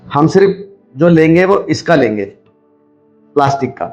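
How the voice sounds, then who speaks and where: A man's voice: a little high, expressive, normal speed, over music. A man giving a hands-on demonstration, explaining step by step and repeating his points as he instructs. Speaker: video host, scrap recycler.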